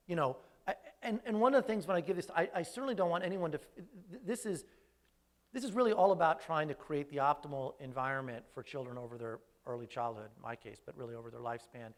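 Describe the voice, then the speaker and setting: A man who grew up speaking Greek first, a researcher answering audience questions after a talk in a thoughtful, hesitant way with frequent restarts. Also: medium pitch. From raised speaking Greek first. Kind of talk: live Q&A after a lecture.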